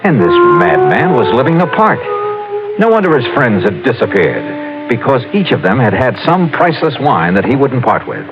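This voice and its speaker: An actor playing a wry, hard-boiled insurance investigator in a 1950s radio detective drama, telling it in clipped first person. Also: male voice, medium pitch, huge pitch swings, normal speed, music in the background. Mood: grim realization. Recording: muffled old radio recording.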